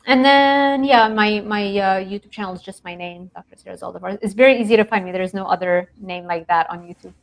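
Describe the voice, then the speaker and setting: Animated woman from Lebanon. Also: medium pitch, fast talker, few pauses. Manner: animated, laughs often, many restarts. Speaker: guest nutrition researcher. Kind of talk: casual interview talk.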